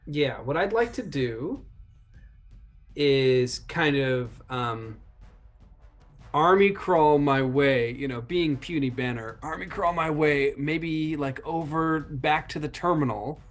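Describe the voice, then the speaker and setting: A man's voice: a little high, lively intonation, slow pace, faint music behind. A man chatting casually as a player in a roleplaying game, jokingly describing what his character does.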